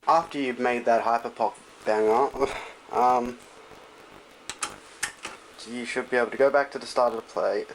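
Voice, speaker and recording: A man's voice: medium pitch, steady tone, a little slow, long pauses. A man giving a casual, hands-on tutorial in an offhand, loose and informal way. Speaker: tutorial presenter. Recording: noisy home recording with clicks.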